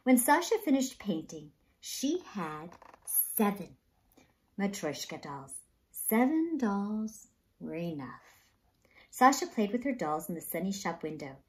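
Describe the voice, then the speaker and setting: A woman reading a children's story aloud with expressive, dramatic, emphatic narration. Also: medium pitch, slow pace.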